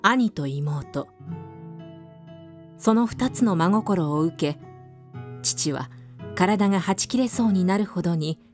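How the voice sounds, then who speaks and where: A woman's voice: fairly low pitch, expressive, slow pace, long pauses, soft background music. A woman giving scripted narration for a radio drama as its narrator.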